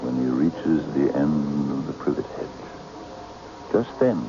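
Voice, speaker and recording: An actor playing a sinister, imperious occult leader in an old-time radio mystery, grandiose, condescending and coaxing. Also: male voice, very deep voice, lively intonation, slow pace, vocal fry, heavily acted. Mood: commanding, intense. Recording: muffled, noisy old radio broadcast.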